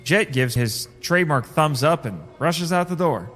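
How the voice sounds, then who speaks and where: High-pitched, wry, theatrical man acting. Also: expressive, moderate pace, fairly bright tone, big-room sound, soft background music. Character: sardonic male crash survivor narrating his own story. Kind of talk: first-person audio drama narration and dialogue.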